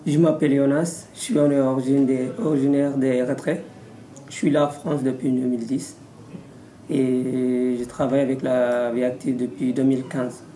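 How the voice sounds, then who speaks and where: A man's voice: medium pitch, flat delivery, moderate pace, dark tone, reverberant. A man who works at a shower service, speaking in short, simple phrases in a testimonial interview.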